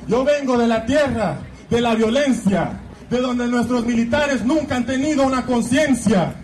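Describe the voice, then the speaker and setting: A man's voice: extremely high pitch, rough recording. A man from Guatemala performs spoken-word poetry live on stage, in an impassioned, rhythmic, declamatory delivery. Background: music.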